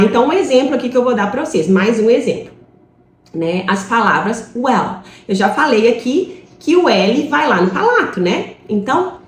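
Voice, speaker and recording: Lively, echoey Brazilian woman teaching. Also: on the high side. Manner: explanatory, lively, checks in with "né?" and "tá?". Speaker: English teacher. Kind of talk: online class explanation.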